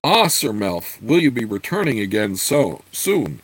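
A man's voice: lowish voice, sing-song, unhurried, breathy edge, no echo, exaggerated voice, low-quality recording. A man voicing a cross young tavern serving girl in a storybook, pouting and complaining. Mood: polite.

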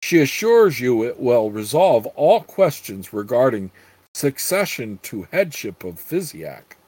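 A man's voice: mid-range voice, sing-song, a little slow, a little mellow, husky, no room sound, low-quality recording. A man reading the polite, formal lines of a young male thief. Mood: courteous.

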